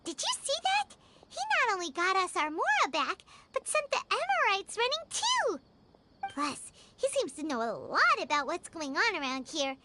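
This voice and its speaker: A woman voicing a small, hyperactive female fairy companion in a video game, bouncy and excited. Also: moderate pace, heavy background noise, exaggerated voice. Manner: excited, bouncy, high-pitched. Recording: game audio with loud background music.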